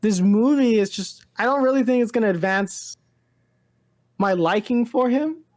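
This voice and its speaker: A woman's voice: a little high, moderate pace, a little mellow. A woman co-hosting a pop-culture podcast in casual chat, rambling and hedging as she talks informally. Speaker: podcast co-host.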